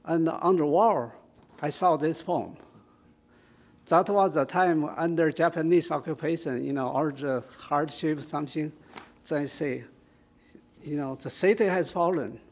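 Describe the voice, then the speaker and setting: A retired older man with a Chinese accent, halting and full of fillers, introducing and explaining a poem to a small audience. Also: on the high side. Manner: halting, with many fillers and restarts. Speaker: poetry reader. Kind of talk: informal reading and explanation at a community event. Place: small community room with an audience. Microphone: stand microphone.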